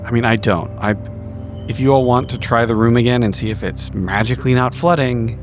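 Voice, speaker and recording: A man's voice: medium pitch, fairly even intonation, a little fast, over music. A man playing a tabletop roleplaying game, improvising in character in an argumentative way and swearing casually.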